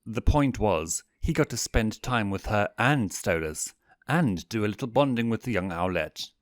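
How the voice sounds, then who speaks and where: A man narrates fiction in a fairly low voice with very lively, swinging intonation. He reads in an audiobook style, and the sound is dry and close. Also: moderate pace, no room sound. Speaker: fanfiction narrator.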